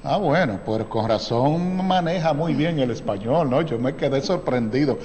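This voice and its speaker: A man's voice: mid-range voice, expressive, normal speed, darkish tone, long reverb tail, a little hiss or hum. A man hosting a TV talk show, chatting casually with his guests and nodding along with short replies. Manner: conversational, frequent short affirmations. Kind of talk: casual TV interview.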